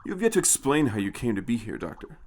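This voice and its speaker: A man's voice: medium pitch, very animated, fast talker, a little mellow. A man voicing a stern, suspicious military colonel who interrogates in a clipped, demanding, hard-nosed way. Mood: coolly interrogating.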